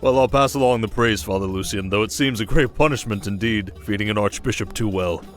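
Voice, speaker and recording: A man's voice: lowish voice, a little fast, faint music behind, lightly acted. A man playing a wry, teasing young king in an audio drama, with a dry, stately manner. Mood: dry, regal. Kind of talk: audio drama acting, ASMR roleplay.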